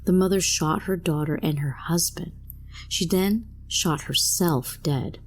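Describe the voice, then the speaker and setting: A woman's voice: lowish voice, measured pace, a little hiss or hum. A woman reading a scripted first-person horror story as a narrator.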